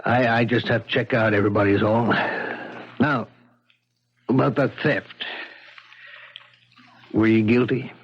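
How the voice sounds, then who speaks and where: An actor playing an earnest, polite police lieutenant who questions suspects haltingly in a 1970s radio drama. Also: male voice, mid-range voice, fairly even intonation, slightly rough. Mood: gently probing. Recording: dull 1970s radio broadcast.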